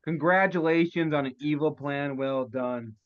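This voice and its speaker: A man's voice: a little high, moderate pace, clean tone, close to an everyday voice. A man acting a foul-mouthed, sentimental schemer in a comedy table read, over a dull online connection. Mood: smug.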